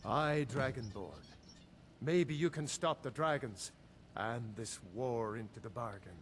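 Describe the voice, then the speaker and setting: An actor playing a stately, weary fantasy jarl with a measured, formal way of speaking. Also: male voice, medium pitch, lively intonation, a little hiss or hum. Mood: grave, measured.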